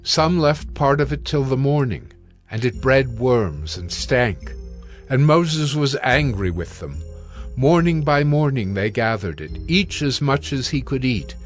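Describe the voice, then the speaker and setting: A man narrating scripture in a solemn, measured, scripted dramatic style. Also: medium pitch, big pitch swings, soft background music.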